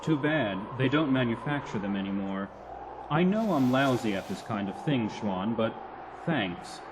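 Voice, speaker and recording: Male actor as damaged android, weary, wry, understated, mid-pitched, sci-fi radio drama, loud background ambience. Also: expressive, unhurried, background noise, close to an everyday voice. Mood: dry, subdued. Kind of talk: 1990s science fiction radio drama acting. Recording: loud ambient sound effects behind.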